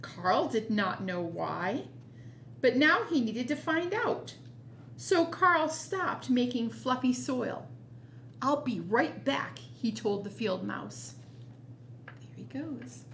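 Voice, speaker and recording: A woman reads a children's picture book as a storytime host. Her voice is fairly high and very animated, moving at an unhurried pace with quiet asides. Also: gravelly, slight background noise.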